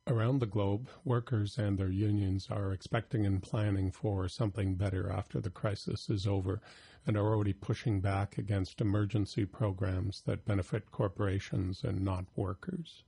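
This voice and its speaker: Male news reporter, low and gravelly, dark tone, dry close sound. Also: steady tone, normal speed, dry recording.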